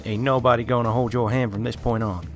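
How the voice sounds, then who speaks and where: A man's voice: mid-range voice, level tone, quick pace. A man giving casual video game commentary and reading text aloud. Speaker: game reviewer. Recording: game music behind. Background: game music.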